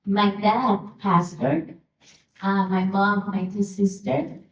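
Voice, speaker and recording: Slow-spoken woman. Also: medium pitch. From Dominican Republic. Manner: halting, with many fillers and restarts. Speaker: guest speaker. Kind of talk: personal testimony at a luncheon. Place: luncheon hall. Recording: echoey hall, distant mic.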